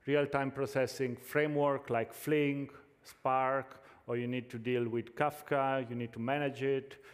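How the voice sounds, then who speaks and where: Italian-accented male conference presenter, mid-pitch, halting delivery, reverberant hall. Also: little pitch movement. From Italy. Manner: hesitant, frequent fillers and restarts. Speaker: conference speaker.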